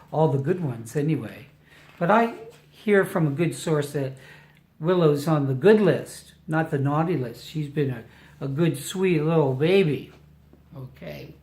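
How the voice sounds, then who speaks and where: Slow, echoey older storybook reader. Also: mid-range voice, darkish tone. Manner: affectionate, playful, emphatic reading. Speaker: family member reading to a baby. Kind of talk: storybook read-aloud with chatty asides to a baby. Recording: roomy home recording with echo.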